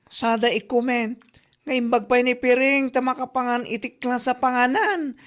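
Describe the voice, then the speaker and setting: A woman's voice: a little high, normal speed, lightly acted. A woman playing a gossipy, mocking coworker in a comedy drama, with an exaggerated Ilocano Filipino accent. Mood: mocking gossip.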